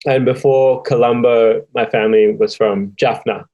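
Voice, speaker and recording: A young man with an Australian accent, speaking slowly and reflectively with many pauses, like an interviewee telling his family's story. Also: medium pitch, steady tone, warm tone, muffled recording. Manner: slow and thoughtful, with frequent pauses and fillers. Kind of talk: reflective personal testimony.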